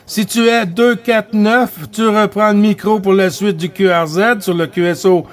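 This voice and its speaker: A man with a Québécois accent running a CB radio net in an unhurried, chatty way, with frequent hesitations and tag words. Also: high-pitched, steady tone. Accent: Québécois French. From Quebec.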